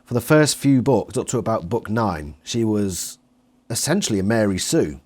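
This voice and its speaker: A man's voice: lowish voice, lively intonation, normal speed, darkish tone. A man talking casually as an interviewer and reviewer in a fan discussion.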